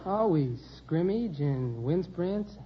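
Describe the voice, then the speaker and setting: An eighteen-year-old American man, a high school contestant giving short, casual interview answers. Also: animated, slow pace. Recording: muffled, noisy vintage radio recording.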